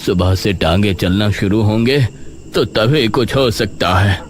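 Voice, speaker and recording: A man voicing a calm, resigned older village uncle in a horror story. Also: lowish voice, measured pace, lightly acted.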